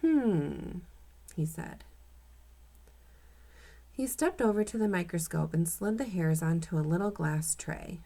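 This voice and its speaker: A woman's voice: lowish voice, a little slow, pauses often, mellow. A woman reading a children's storybook aloud as the narrator.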